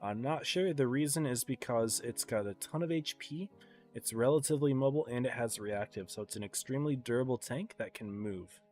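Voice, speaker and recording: A man casting an esports match on a live stream, congested and tired, calling the play in a relaxed, subdued way. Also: mid-range voice, brisk, faint music behind. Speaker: esports caster.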